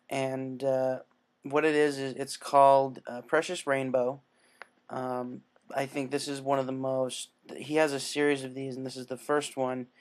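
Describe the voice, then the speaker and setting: A man casually introducing and narrating fan fiction as its reader. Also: mid-range voice.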